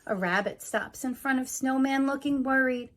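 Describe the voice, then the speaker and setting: A woman's voice: high-pitched, moderate pace, fairly bright tone. A woman hosting a children's storytime, talking casually and reading a picture book aloud. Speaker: children's museum staff storytime host.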